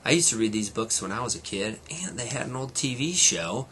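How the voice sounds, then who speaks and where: A man's voice: medium pitch, animated, brisk, pushed and bright, slight background noise. A man, a teacher, reading a children's storybook aloud to students with casual little asides.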